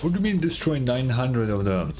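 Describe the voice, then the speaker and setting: A man's voice: mid-range voice, big pitch swings, brisk, music in the background. A man doing casual live gameplay commentary as a streamer, talking in an informal, slangy, wry way. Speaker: game streamer.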